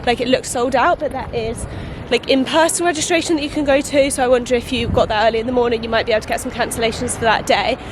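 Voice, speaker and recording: Woman travel vlogger, stuffy-nosed from a cold, casual and chatty, slightly high voice, recorded outdoors with street noise. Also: fairly even intonation, fast. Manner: chatty, with filler words and laughs. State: congested from a cold. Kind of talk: casual travel vlog chat.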